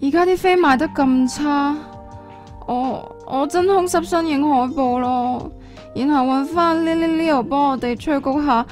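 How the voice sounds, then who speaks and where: An actress playing a defiant, idealistic young band member in a radio drama, with a Hong Kong accent. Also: female voice, high-pitched, a little slow, a little mellow, faint music behind. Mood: panicky.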